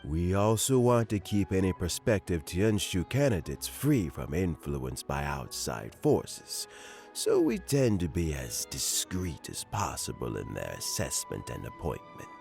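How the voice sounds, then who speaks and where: An actor playing a frail, kindly old statesman who speaks slowly, formally and with courtesy, in a game cutscene. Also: big pitch swings, darkish tone, faint music behind, full character voice. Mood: courteous. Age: older. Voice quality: gravelly and low. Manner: slow, measured, courteous. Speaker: retiring elder statesman. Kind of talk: video game cutscene dialogue.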